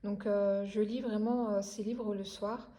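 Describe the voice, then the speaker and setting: A woman's voice: mid-range voice, steady tone, measured pace, warm tone. A French woman in her thirties chatting casually about her reading, with lots of hesitations and restarts. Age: 30s. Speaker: craft and book vlogger. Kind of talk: casual chat about books.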